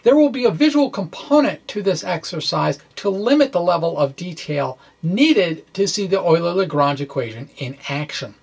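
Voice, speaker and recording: A person reading a physics blog aloud as a science explainer, with deliberate, theatrical phrasing and informal asides. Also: medium pitch, gravelly, slightly rough.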